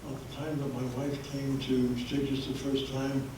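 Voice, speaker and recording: A man's voice: medium pitch, flat delivery, normal speed, background noise. A man giving a public history talk to an audience, with many hesitant fillers, recorded through a handheld microphone in a meeting room. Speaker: history presenter. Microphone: handheld microphone, held low at times. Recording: echoey room from far off.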